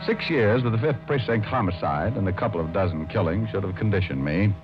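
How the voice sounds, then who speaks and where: Casting: male actor for wry hard-boiled private detective, lowish voice, old radio sound. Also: lively intonation, a little fast, lightly acted. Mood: dry narration. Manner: dry, sardonic. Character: wisecracking city private detective, dry and tough. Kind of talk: 1950s radio acting, first-person narration and dialogue. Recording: muffled vintage radio recording, music behind.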